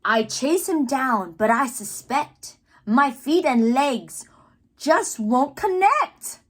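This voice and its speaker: A woman reading children's poetry aloud with theatrical, deliberate phrasing and playful emphasis, adding asides as the reader. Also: high voice, animated, fairly bright tone. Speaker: read-aloud host, teacher-like reader.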